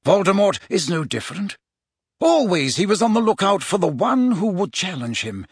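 A man's voice: high-pitched, sing-song, moderate pace, breathy edge, no room sound, studio quality, voice pushed up, heavily acted. A man voicing an old, wise headmaster wizard in an audiobook, speaking formally and emphatically as an earnest, urgent mentor. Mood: impatient, agitated.

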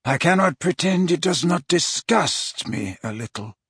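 A man puts on the voice of a gentle old wizard headmaster, unhurried and politely wry even when cornered. The tone sits a little higher than the reader's own, with a husky edge and a dry, close sound. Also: dry recording, heavily acted. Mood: calm. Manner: quiet, measured, courteous. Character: aged calm wizard headmaster, gentle and dignified.

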